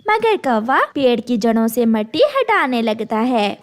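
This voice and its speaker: A woman's voice: fairly high pitch, sing-song, a little slow. A woman narrating a scripted children's story.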